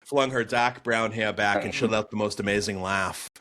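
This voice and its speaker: Chatty Boston-accented man, animated. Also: lowish voice, normal speed. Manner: animated, chatty, many fillers and restarts. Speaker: guest. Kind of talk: storytelling interview answer.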